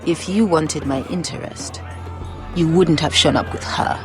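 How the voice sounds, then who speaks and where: Slow, menacing pit-fighter queen. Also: low-pitched, music in the background. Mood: bitter. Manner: deliberate, threatening. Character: menacing, bitter female leader of pit fighters. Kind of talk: video game character acting.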